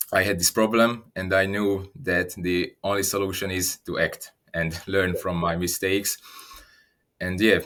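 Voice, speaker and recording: Young man, deep and even, measured guest answers. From Slovakia. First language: Hungarian. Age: 20s. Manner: careful, repeats words while thinking. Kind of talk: interview answers.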